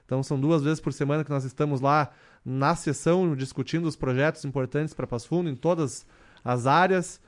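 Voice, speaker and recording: Brief: man in his thirties, councilman guest on a radio show, steady, measured delivery with frequent fillers. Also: fairly high pitch. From southern Brazil. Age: 30s. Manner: measured, many fillers and restarts. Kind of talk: radio interview answers.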